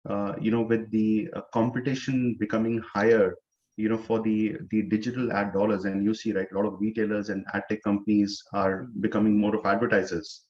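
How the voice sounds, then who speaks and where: Low-voiced man on a muffled call. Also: level tone, a little mellow. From India. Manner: measured, many "you know" fillers. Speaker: startup co-founder guest. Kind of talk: business interview answers.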